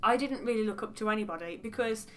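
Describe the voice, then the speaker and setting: A woman's voice: medium pitch, fast talker, fairly bright tone. A young woman from the English Midlands with a West Midlands accent, chatting frankly and rambling with asides in a casual get-to-know-me vlog. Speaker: beauty vlogger.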